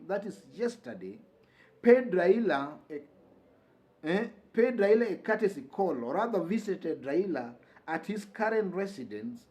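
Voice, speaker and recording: A high-voiced man with a Kenyan accent delivers political commentary as a host. His intonation rises and falls widely as he talks. He is emphatic, restating key phrases to give them weight. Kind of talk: news commentary to camera or listeners.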